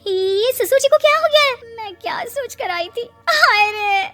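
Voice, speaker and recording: A woman voicing an indignant young daughter-in-law in an animated story, whiny, melodramatic and exasperated. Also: extremely high pitch, unhurried, pitched above the performer's own voice, full character voice. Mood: wailing dismay.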